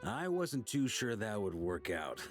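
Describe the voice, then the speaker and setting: An actor playing a seasoned, steadfast and easygoing knight commander in a video game. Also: male voice, fairly low pitch, very animated, a little slow. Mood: calm, explanatory. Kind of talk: scripted video game voice acting. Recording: game audio under prominent music.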